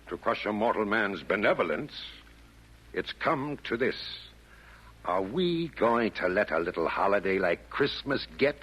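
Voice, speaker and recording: A pompous, swooping, husky Devil. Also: male voice, fairly low pitch, fairly bright tone, exaggerated voice, rough recording. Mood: stern, exasperated. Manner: theatrical, oratorical, rhymed delivery. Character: pompous male Devil chairing a meeting of demons. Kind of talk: 1940s radio acting. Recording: old 1940s radio broadcast.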